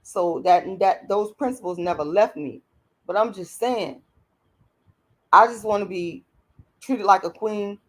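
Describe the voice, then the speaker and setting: A hoarse woman, hosting a late-night livestream and talking bluntly and emphatically to her viewers. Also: medium pitch, measured pace, long pauses, rough recording. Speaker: channel host.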